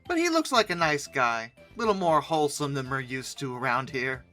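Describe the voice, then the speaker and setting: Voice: medium pitch, expressive, moderate pace, bright, forceful tone, faint music behind. A host reacting off the cuff to images with theatrical, sarcastic comic commentary and frequent exclamations. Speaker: host of a comedy reaction video.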